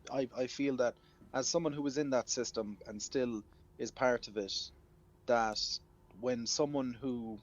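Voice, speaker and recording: A man with an Irish accent chatting casually as a musician guest interviewed over the telephone. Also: mid-range voice. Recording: dull lo-fi phone line.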